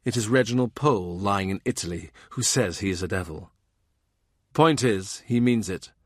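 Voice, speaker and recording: Low-voiced man narrating, dry sound. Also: normal speed, dry recording. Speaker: audiobook narrator. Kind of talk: scripted literary narration of historical novel.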